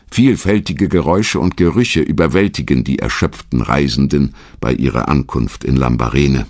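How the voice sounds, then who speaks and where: A man narrates a documentary from a script with an extremely low, creaky voice. His storytelling is measured and dramatic, moving expressively up and down in pitch. The recording is dry and close. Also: no room sound.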